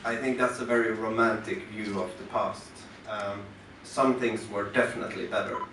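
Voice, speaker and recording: A man from western Norway with a Norwegian accent, talking to a concert audience from the stage in a halting, thoughtful way with many pauses and restarts. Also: fairly low pitch, fairly even intonation, very noisy. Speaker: musician introducing his work on stage. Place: concert club venue. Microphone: stage microphone through the house speakers, recorded from the audience. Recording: roomy venue sound recorded from far off.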